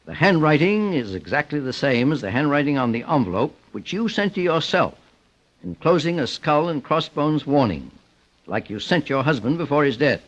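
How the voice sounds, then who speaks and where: An actor playing a calm, relentless male private detective in old-time radio style, deliberate, formal and accusatory. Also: fairly high pitch. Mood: firm, accusing. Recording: muffled vintage radio recording.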